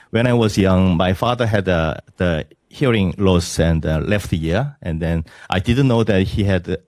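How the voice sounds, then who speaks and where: A middle-aged man introducing himself to a class in hesitant, non-native English with many pauses. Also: sits low, darkish tone, no echo, studio quality. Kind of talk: self-introduction at a welcome session.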